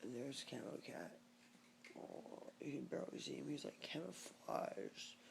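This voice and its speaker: A woman vlogging at home in a casual video diary, speaking hoarsely and faintly, often near a whisper. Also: rumbling low, expressive, a little slow, noisy background. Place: at home, lying on the floor.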